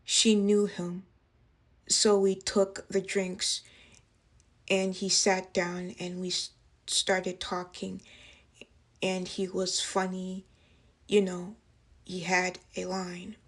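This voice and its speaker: A woman playing a traumatized young waitress in a dramatic monologue, speaking haltingly in broken phrases. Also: lowish voice, monotone. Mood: subdued, hesitant. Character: traumatized young working-class waitress recounting an assault. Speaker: actress performing a film monologue.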